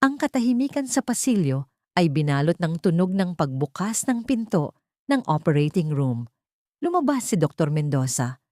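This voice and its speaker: Woman narrator, dramatic audiobook read, low and mellow. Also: big pitch swings, dry recording, studio quality. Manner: measured, dramatic. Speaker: storyteller.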